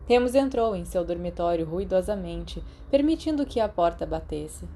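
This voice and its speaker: Low, mellow Brazilian woman narrator, noisy recording. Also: lively intonation, normal speed. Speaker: audiobook narrator. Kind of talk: scripted story narration. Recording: clearly noisy background.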